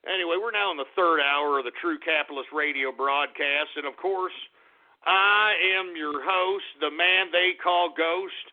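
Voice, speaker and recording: A man's voice: fairly high pitch, a little slow. A man hosting a call-in radio talk show, ranting and insulting callers in an abrasive way. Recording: phone-like narrow band.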